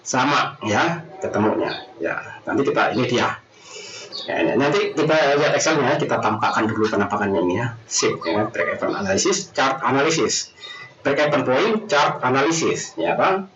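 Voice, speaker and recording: A man's voice: medium pitch, lively intonation, moderate pace. A man with an Indonesian accent giving an informal lecture in a rambling, colloquial way, repeating himself and adding frequent tag questions. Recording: roomy echo, distant mic, dull noisy sound.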